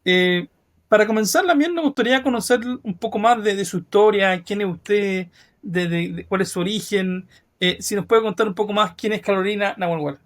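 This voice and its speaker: A man's voice: sits high, moderate pace, fairly bright tone. A man with a Chilean accent hosting an interview podcast, speaking courteously and formally to his guest. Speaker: podcast host.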